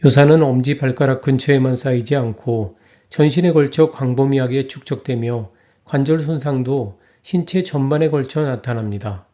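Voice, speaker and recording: A man reading a scripted health lecture in an even, measured way. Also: medium pitch, highs cut off.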